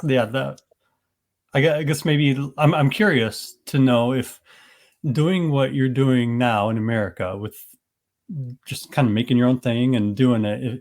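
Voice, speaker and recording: A man with a mid-range voice hosting a casual podcast interview. He rambles along in a friendly way, restarting his sentences as he goes. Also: medium pitch. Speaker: podcast host.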